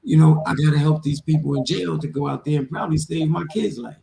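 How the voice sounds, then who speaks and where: A middle-aged man talks in a fairly high, gravelly voice. He is emphatic and slangy, circling back on his phrases, in a roomy, echoing space. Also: fast, warm tone. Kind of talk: casual, streetwise chat. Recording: roomy echo with faint noise.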